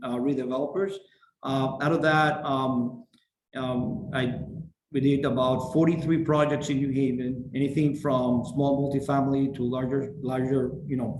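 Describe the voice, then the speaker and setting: Middle-aged man, program founder, halting delivery, video call audio in a roomy space with faint background noise. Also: mid-range voice, darkish tone. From Venezuela. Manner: halting, many fillers and restarts. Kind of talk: orientation talk on a video call.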